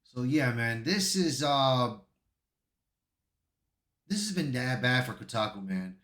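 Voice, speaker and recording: A man's voice: medium pitch, big pitch swings, slow pace, fairly bright tone. A man giving online commentary, reading out an article with casual asides and stumbling over some words. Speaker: YouTube commentator. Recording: echoey untreated room.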